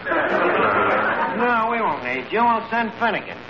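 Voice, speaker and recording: An actor with a New York accent playing a brash, scheming tavern manager in a radio comedy, wisecracking and mangling big words with mock pomp. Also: male voice, high-pitched, big pitch swings, takes it slowly, a loud crowd, full character voice. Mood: self-important, scheming. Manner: wisecracking, mock-pompous explanations full of malapropisms. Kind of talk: 1940s radio comedy acting. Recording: noisy, muffled old radio recording.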